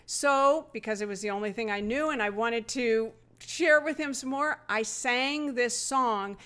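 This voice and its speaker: Earnest, reflective woman, slightly high voice. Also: a little slow, fairly bright tone, clear, resonant tone. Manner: earnest, reflective, with fillers and laughs. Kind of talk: conversational storytelling.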